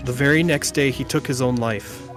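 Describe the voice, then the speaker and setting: Man acting a young game lawyer, middle pitch, earnest, dramatic, determined, faint music behind. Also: normal speed, a bit bright, close to an everyday voice. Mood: accusing, forceful. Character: earnest young male defense lawyer, doggedly accusing and musing. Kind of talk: amateur video game dub.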